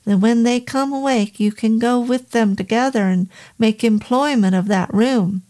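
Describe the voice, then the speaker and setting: A woman reading the part of a gentle middle-aged male innkeeper who speaks in stiff, oddly formal, halting English. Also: a little high, close to an everyday voice. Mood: gentle, patient.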